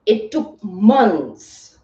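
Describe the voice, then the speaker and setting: A woman's voice: medium pitch, very animated, very slow. A woman giving a live motivational talk in a soothing, earnest and reflective way, often checking in with her listeners. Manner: earnest, reflective, rhetorical check-ins like "right?" and "okay". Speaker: livestream host and motivational content creator. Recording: roomy echo, distant mic, slightly muffled.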